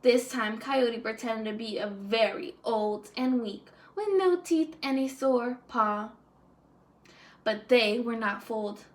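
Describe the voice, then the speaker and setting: A woman teacher gives an expressive read-aloud for small children. Her voice is high, and she goes slowly and deliberately through the narration.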